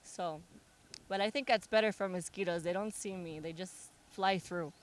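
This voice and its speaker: A woman's voice: fairly low pitch, normal speed. A young American woman, a musician, chatting casually in an interview, joking and laughing often. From United States. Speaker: musician guest.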